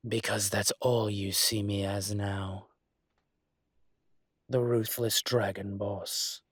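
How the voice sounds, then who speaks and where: A man playing a teasing, smug but protective dragon shifter mafia boss in an intimate roleplay audio, speaking in a measured, deliberate way with dramatic pauses. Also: on the low side, flat delivery, a bit bright, husky, no echo, clean studio sound, full character voice.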